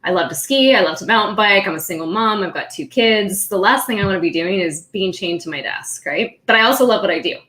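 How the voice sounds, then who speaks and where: A woman's voice: mid-range voice, lively intonation, brisk, hardly any pauses, a bit bright. A middle-aged woman, born in Austria and raised in California, telling her story as a podcast guest in an upbeat, chatty way with frequent laughs. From born in Austria, grew up in California. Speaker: podcast guest, social media marketer. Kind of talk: casual interview talk.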